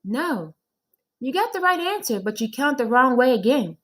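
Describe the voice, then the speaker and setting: A woman voicing a female schoolteacher in a children's storybook, patient but firm, correcting and instructing in a measured manner. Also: high-pitched, big pitch swings, a bit bright, voice pushed up, full character voice. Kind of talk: children's storybook read-aloud.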